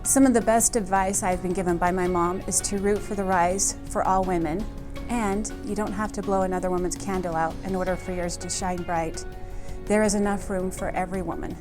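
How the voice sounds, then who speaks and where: A woman county recorder speaking sincerely and calmly about family mentors. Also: mid-range voice, brisk. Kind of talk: personal tribute message. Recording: background music clearly audible.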